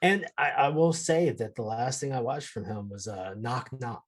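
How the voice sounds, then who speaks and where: A male podcast guest with a husky, slightly rough voice of average pitch. He speaks casually, in a conversational way. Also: moderate pace. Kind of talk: casual chat.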